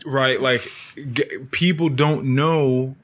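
Casual male host, blunt and animated, everyday mid-range voice. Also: medium pitch, slow pace. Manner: animated, swearing freely, acts out quotes. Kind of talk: casual chat.

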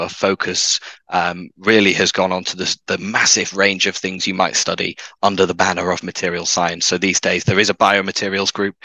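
A man's voice: deep voice, bright, forceful tone, no echo. A young man with a Manchester accent, a podcast guest talking hesitantly with many fillers and restarts. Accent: Mancunian. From north of England. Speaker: guest, materials scientist. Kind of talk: podcast interview.

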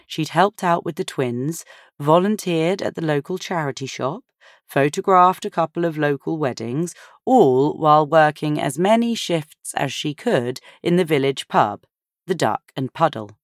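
A woman narrating a novel in a measured, expressive storytelling manner. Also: low voice, no room sound, studio quality. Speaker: audiobook narrator.

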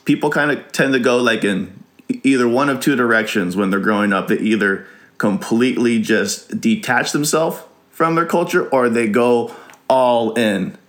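A male interviewer with a medium-pitched voice speaks calmly and reflectively. He sums up the guest's point before asking his question. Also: moderate pace.

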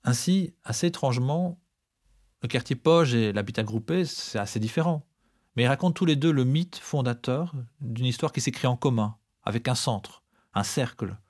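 A man's voice: mid-range voice, animated, moderate pace, darkish tone. A man co-hosting a podcast with scripted, explanatory narration.

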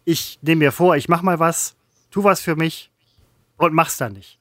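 A man with a somewhat higher voice muses wryly and drops deadpan quips in a comedic chat. The recording is dry and close, with no room sound. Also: a little slow, no room sound. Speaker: podcast co-host.

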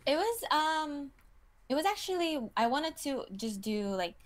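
A woman's voice: high voice, very animated, a little slow, no room sound. A woman in her twenties from Kazakhstan, a model answering interview questions in a casual, chatty way with lots of fillers and small laughs. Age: 20s. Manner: chatty, giggly, many fillers like "you know".

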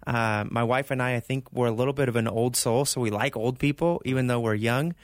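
A man raised in Argentina, a pastor, talking casually as a radio interview guest in short phrases with pauses between them. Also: medium pitch, no echo, studio quality. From born in California, raised in Argentina. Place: radio studio. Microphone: close studio microphone.

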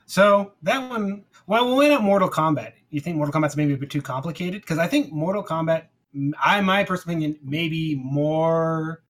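A man with a somewhat higher-pitched voice speaks as a podcast co-host. His delivery is informal and conversational, like someone trading opinions with a friend. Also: fairly bright tone. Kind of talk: casual chat.

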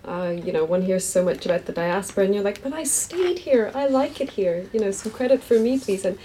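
Cork woman, novelist, mellow low voice, hesitant with restarts, faint hum behind. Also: animated, a little fast, poor audio. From East Cork, Ireland. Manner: hesitant, self-deprecating, many restarts and laughs. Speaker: novelist, interview guest. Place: bookshop event.

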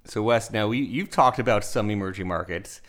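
Male host, low voice, loose rambling interview questions. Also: moderate pace, fairly bright tone. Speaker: show host. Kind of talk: interview hosting.

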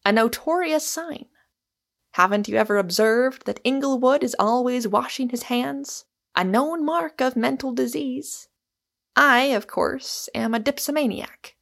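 A woman voicing a sardonic, bitter Irishman in audiobook dialogue, with calm, deliberate irony. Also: high voice, gravelly, voice pushed up. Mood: mock-soothing, ironic. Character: gloomy, sardonic Irishman, quiet, bitter and ironical.

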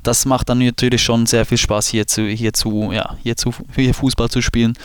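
A man in his late twenties from Switzerland with a Swiss German accent, a guest talking casually in a podcast interview and pausing often with fillers and restarts. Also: medium pitch, little pitch movement, clear, resonant tone, dry recording. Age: 20s. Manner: hesitant, many fillers and restarts. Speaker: football goalkeeper guest.

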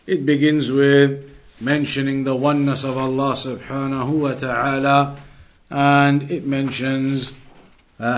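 A man giving a religious lesson as a teacher, reading out texts and explaining them didactically, with key phrases repeated for emphasis. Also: mid-range voice.

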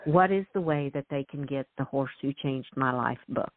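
A woman's voice: very deep voice, moderate pace, no echo. A woman hosting a radio talk show, effusive and enthusiastic. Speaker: host. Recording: tinny phone line.

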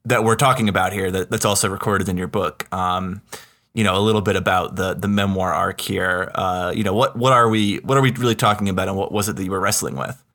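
Guy podcast host, lowish bright voice, careful tentative way of asking stuff. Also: fairly even intonation, fast. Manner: tentative, careful, hedging phrasing. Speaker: podcast interviewer. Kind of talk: conversational interviewing.

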